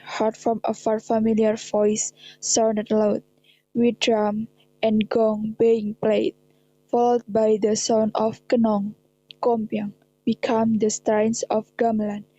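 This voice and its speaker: A woman's voice: on the high side, completely flat delivery, slow, mellow, no room sound, highs cut off. A young woman with an Indonesian accent reading scripted narration for a radio drama. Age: young adult. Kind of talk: scripted narration, read aloud.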